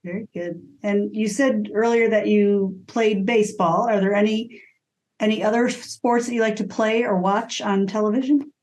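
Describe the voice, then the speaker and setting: Female interview co-host, medium pitch, casual conversational questioning. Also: fairly even intonation, moderate pace, a little mellow, poor audio.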